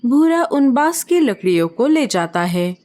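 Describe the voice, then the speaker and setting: A woman narrating a children's cartoon story in a plain, even storytelling manner. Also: high-pitched, a little slow.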